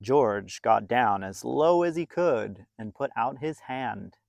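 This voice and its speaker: A man narrating a children's storybook in an expressive, deliberate way, drawing out phrases for young listeners. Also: mid-range voice, gravelly, no echo. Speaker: storybook reader.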